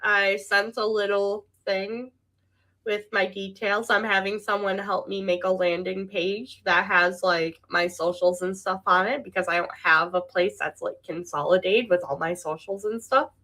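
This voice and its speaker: A woman hosting a casual podcast, relaxed and candid, giggling as she talks about her day. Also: mid-range voice, normal speed, low-quality recording. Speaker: podcast host.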